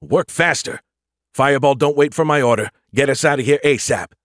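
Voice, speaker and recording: A man's voice: medium pitch, fairly quick, dry recording, studio quality, voice pushed up, close to an everyday voice. A man voicing a blunt, commanding military starship captain in an audiobook, urgent and sarcastic.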